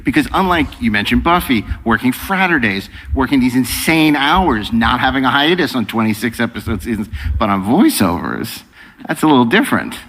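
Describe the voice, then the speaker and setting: A man interviewing a guest with a very animated, mid-pitched voice. His questioning is conversational and jocular, and a long hall echo hangs around it. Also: brisk. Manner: teasing, jocular. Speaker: podcast host, interviewer. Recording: echoey hall, long reverb.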